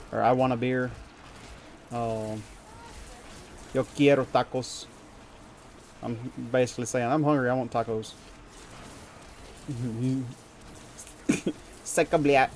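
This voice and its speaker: A man's voice: mid-range voice, darkish tone, slight background noise. A man from the United States chatting with his viewers while gaming, rambling and chuckling and poking fun at himself. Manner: rambling, chuckling, self-deprecating. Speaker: streamer.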